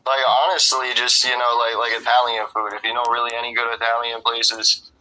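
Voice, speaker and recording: A man from upstate New York talks casually in a sharp, bright voice at a medium pitch. He sounds laid-back and swears freely as he chats. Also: completely flat delivery, brisk, rough recording. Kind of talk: casual chat.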